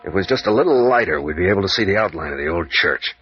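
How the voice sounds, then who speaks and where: An American actor playing a bold, sardonic adventurer captain in an old-time radio serial, with needling, probing delivery. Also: male voice, sits low, lively intonation, racing, a little hiss or hum. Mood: wry, brisk. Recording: muffled, echoey vintage radio recording.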